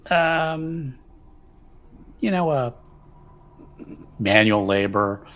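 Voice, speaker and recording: A man playing a tabletop roleplaying game, talking casually and haltingly, often repeating himself as he improvises. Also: mid-range voice, expressive, fairly bright tone. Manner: halting, repetitive, conversational. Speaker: tabletop RPG player. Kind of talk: casual improvised character planning.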